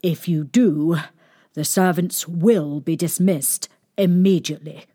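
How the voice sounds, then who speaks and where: A woman voicing a kindly, thoughtful king in a storybook, speaking in a slow, measured way. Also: low voice, dark tone, husky, no room sound, studio quality, heavily acted.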